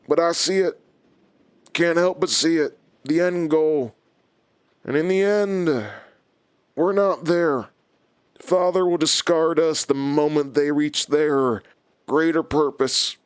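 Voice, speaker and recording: An actor playing a calm, menacing inhuman villain in an audio drama, with a deliberate, taunting manner. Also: male voice, a little high, clear, resonant tone, dry recording. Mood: bleak. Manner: slow, deliberate, controlled, taunting.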